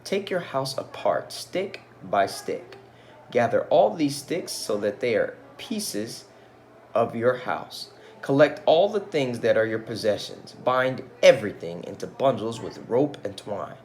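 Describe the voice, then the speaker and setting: A man reads the part of a solemn old sage in a storybook. He gives patient, emphatic instructions with strongly varied pitch. Faint noise sits behind him. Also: big pitch swings, measured pace, darkish tone. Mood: solemn, instructing.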